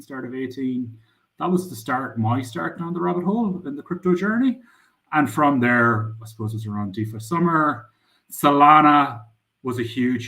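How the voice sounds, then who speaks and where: Irish founder, middle-aged man, loose rambling talk, mid-pitched voice. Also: fairly bright tone, poor audio. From Ireland. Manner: rambling, frequent "I suppose" fillers. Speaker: startup founder. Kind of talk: casual podcast interview.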